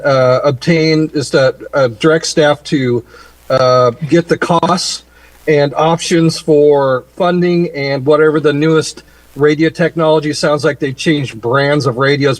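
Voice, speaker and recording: A man, a chief, giving halting, matter-of-fact comments at a public government meeting. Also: fairly high pitch. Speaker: chief advising county board.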